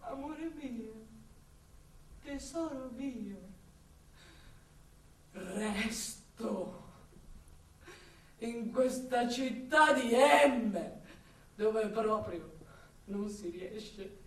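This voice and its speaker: An actress playing an impassioned woman torn between love and exasperation for her city, in a declamatory radio monologue with drawn-out, repeated words. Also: on the high side. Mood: hushed, aching. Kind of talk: dramatic theatrical radio monologue. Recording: roomy echo, distant from the mic.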